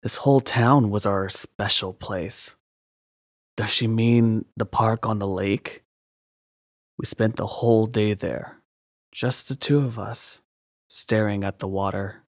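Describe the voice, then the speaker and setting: A man's voice: fairly low pitch, fairly even intonation, slow pace, dry recording. A man, a voice actor, giving a dramatic scripted reading in a close studio-style recording. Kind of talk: dramatic scripted narration and acting demo.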